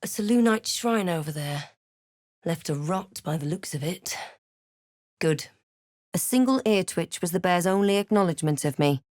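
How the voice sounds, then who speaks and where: A woman voicing a wry, observant adventurer heroine in a video game, speaking scripted lines and narration in a measured, understated way. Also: on the low side, expressive, darkish tone, no room sound, studio quality, natural voice. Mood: measured, watchful. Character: female adventurer hero, wry, observant, quietly guarded. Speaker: video game player character.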